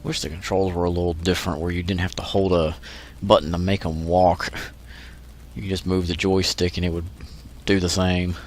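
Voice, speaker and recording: Man, very deep voice, stuffy nose, laid-back joking let's play commentary. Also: flat delivery, fairly quick, a little hiss or hum. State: stuffy from allergies. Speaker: gameplay commentator.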